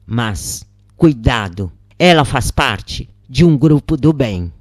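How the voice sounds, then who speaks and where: A narrator voicing a boastful male boss of a dark spirit realm, commanding and emphatic, in a story reading. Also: mid-range voice, animated, takes it slowly, dry recording, studio quality. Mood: giving orders.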